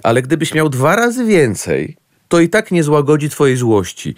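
A mocking, outspoken young gentleman, played by a man in a radio play, his voice swinging widely in pitch. The sound is dry and close. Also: sing-song, normal speed, no room sound. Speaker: actor.

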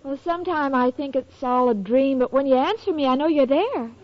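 A woman's voice: high voice, expressive, a little fast. A woman playing a sweet, naive, guileless young woman, eager to help, in 1940s radio sitcom style on a muffled old broadcast. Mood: adoring, earnest. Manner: guileless, earnest, dim-witted delivery.

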